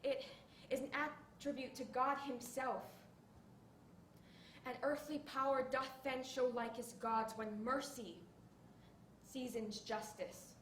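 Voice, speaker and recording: A teenage girl playing a poised young noblewoman, reciting a measured, earnest speech on mercy, recorded from afar in an echoey hall. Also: high-pitched, steady tone. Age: teens. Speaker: student in a monologue contest. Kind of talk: stage monologue recitation. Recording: echoey room, distant mic, faint hiss.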